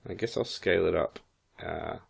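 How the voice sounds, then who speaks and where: Croaky low-voiced man talking through a tutorial. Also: moderate pace. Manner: casual, thinking aloud while working. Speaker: 3D modeling instructor. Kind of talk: screen-recorded software tutorial.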